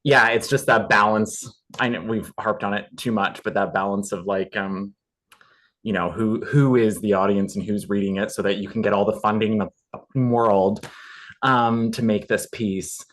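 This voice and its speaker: Male, lowish voice, casual panelist, appreciative and informal. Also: steady tone, moderate pace, fairly bright tone. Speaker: actor and writer on a panel. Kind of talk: casual feedback chat.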